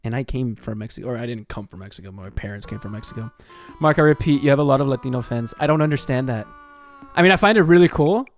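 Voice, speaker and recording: An American man livestreaming in casual chat, excitable and sweary, reacting and reading things aloud. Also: mid-range voice, quick pace, faint music behind. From Texas, United States. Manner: excitable, swearing, jumps from muttering to shrieks. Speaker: livestream host.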